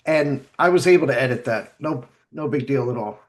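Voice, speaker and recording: A man hosting a casual group podcast chat, talking in a halting way and often repeating his words. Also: mid-range voice, a loud crowd. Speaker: podcast host.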